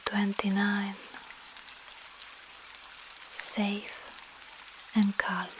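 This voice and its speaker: A woman with a Russian accent softly whispers a soothing ASMR sleep hypnosis, in short phrases with long pauses, guiding the listener as a breathy hypnotist. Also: mid-range voice, slight background noise, rough recording. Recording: steady rain sound behind voice. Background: rain.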